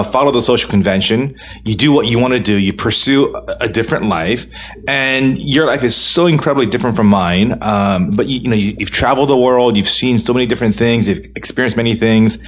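A man's voice: mid-range voice, a little fast. A man in his forties talking earnestly and thoughtfully in a casual group chat, often breaking into laughter. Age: 40s.

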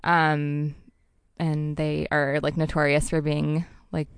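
A woman's voice: low voice, flat delivery, slow, gravelly, clear, resonant tone, no echo. A young adult woman guest talking about astrology in a loose, rambling way, full of "like" and "um.". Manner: rambling, many likes and ums. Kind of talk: casual interview chat.

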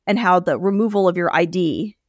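A woman hosting a podcast, forceful and emphatic, repeating points for punch as she rails and then interviews her guest. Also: on the low side, brisk, no echo. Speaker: podcast host. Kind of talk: impassioned opinion monologue and interview.

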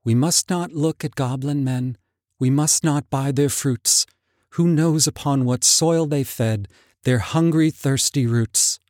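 A man voicing Laura, a curious young woman drawn toward forbidden fruit, speaking in raised, excited tones. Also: medium pitch, slow pace, dry recording, studio quality, close to an everyday voice. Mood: cautious. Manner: excited, breathless urging.

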